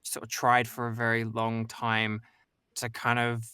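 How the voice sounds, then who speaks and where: A man's voice: mid-range voice, completely flat delivery, measured pace, pushed and bright, clean tone, dry recording, studio quality. A man voice actor, a guest on a stream, speaking thoughtfully and hedging with many "kind of"s and "sort of"s. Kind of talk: casual conversation.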